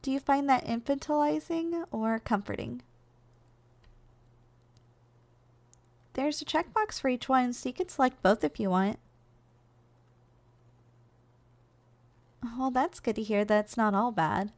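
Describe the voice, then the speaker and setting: Woman as a gentle, higher-voiced giantess secretary. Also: normal speed, few pauses. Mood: gentle, attentive. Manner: soft, coaxing, nurturing, teasingly affectionate. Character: gentle, doting giantess secretary caring for her shrunken boss. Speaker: ASMR role-play performer. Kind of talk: ASMR role-play.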